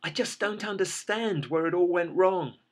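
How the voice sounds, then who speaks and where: A man voicing a distraught small business owner, crying and pleading in a high, strained voice. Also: lively intonation, unhurried, fairly bright tone, full character voice. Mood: tearful. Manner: pleading, tearful, voice breaking high.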